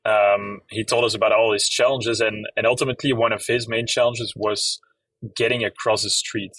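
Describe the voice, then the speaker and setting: Young Belgian man with a Flemish accent, low-pitched, chatty guest heard a bit off mic in a dry room. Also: normal speed, no echo, far from the mic, poor audio. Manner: relaxed, many fillers, explains at length. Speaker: app company co-founder, guest. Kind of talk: casual interview.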